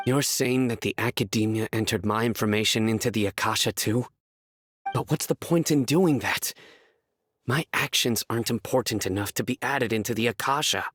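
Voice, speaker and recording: A man's voice: mid-range voice, normal speed, a bit bright, dry recording, lightly acted. An actor playing a stern, guarded young male general in a video game, speaking in a serious, terse, intense way. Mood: guarded disbelief. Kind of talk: video game character dialogue.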